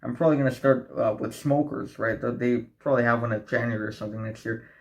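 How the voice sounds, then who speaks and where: Young male vlogger, late teens, preachy and profane, keeps saying "right?", average pitch. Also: steady tone, quick pace. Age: young adult. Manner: blunt, profane, preachy motivational talk; addresses viewers as guys, asks right? often. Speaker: self-improvement vlogger. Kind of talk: direct-to-camera advice vlog.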